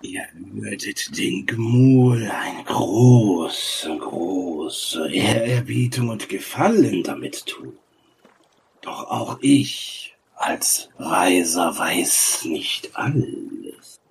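A man voicing an ancient, arrogant and weary reptilian sage in a tabletop roleplaying game, with a drawn-out, pompous delivery. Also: on the low side, vocal fry, slight background noise, voice pushed down, heavily acted. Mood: weary, solemn.

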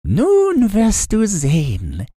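A kindly old woman from a storybook, played by a male narrator, speaks far up in a high register. She is a harmless sorceress, tender and cooing, doting on whoever she talks to. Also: wildly swooping pitch, very slow, mellow, no echo, clean studio sound, heavily acted.

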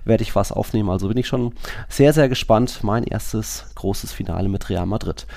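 A man's voice: lowish voice, normal speed, creaky, mellow. A man hosting a podcast, talking casually about football in a chatty, rambling way.

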